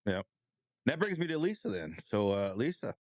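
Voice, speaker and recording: Male podcast interviewer, lowish pitch with very mobile intonation, informal questioning. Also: sing-song, moderate pace.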